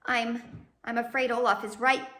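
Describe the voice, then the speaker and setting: A woman's voice: on the high side, steady tone, a little slow, a bit bright, roomy sound. A woman voicing a distressed, earnest female judge in a storybook, pleading and regretful.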